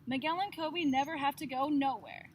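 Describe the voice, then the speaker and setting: Woman voicing a high-pitched, plaintive little boy. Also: normal speed, lightly acted. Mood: whiny complaint. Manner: plaintive questioning. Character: young boy, curious, complaining, a little sulky. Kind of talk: children's picture book read-aloud. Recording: noticeable background noise.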